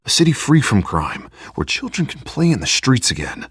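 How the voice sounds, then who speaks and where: Husky, earnest politician. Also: male voice, mid-range voice, very animated, moderate pace, no echo, studio quality. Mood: earnest, rousing. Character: earnest, idealistic male politician campaigning for mayor. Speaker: game voice actor. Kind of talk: dramatic game dialogue acting.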